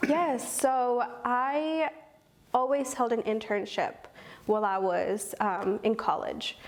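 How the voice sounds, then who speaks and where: A woman's voice: a little high, fairly even intonation, slow pace. A young woman in her twenties from Kentucky, a program coordinator, answers interview questions earnestly, with many ums. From Owensboro, Kentucky.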